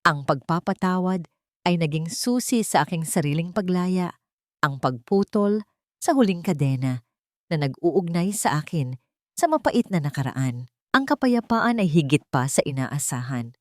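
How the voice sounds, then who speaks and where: Woman, Filipino accent, audiobook narrator, scripted dramatic delivery, fairly low pitch, very dry recording. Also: animated, measured pace, no room sound.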